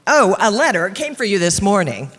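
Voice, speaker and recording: An American actress playing a fond, exasperated detective's secretary with a plaintive, wry manner in an old-time radio drama. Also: female voice, mid-range voice, very animated, a little fast, fairly bright tone, slight background noise. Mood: wry, resigned. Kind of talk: 1940s radio acting. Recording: echoey stage hall.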